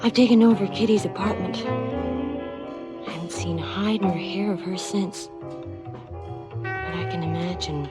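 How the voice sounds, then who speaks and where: An actress playing a crime boss's wistful, wary mistress in a seventies radio drama, confiding in hushed tones that often slip into whispers. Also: female voice, on the low side, steady tone, unhurried, long pauses, loud music behind the voice, natural voice. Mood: matter-of-fact, confiding. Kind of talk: 1970s radio drama acting, intimate confessional monologue and dialogue.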